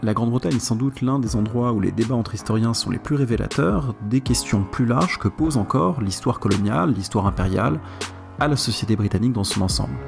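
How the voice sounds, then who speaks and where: Fast guy narrating a podcast, creaky lowish voice, music behind. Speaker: podcast host. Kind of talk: scripted narration.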